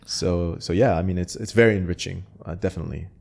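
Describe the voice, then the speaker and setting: Deep, gravelly male founder as interview guest, loose and chatty with restarts. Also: fast. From Canada. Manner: relaxed, many fillers and restarts. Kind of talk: casual interview talk.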